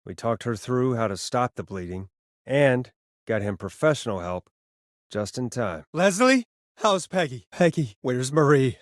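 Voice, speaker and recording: Game role: earnest, shaken male radio host talking down a killer. Natural, halting delivery, medium pitch, dry recording. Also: big pitch swings, dry recording. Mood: grave, piecing together, then panicked, desperate. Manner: halting, stammering, conversational delivery. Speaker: voice actor in a video game. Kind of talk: dramatic video game voice acting.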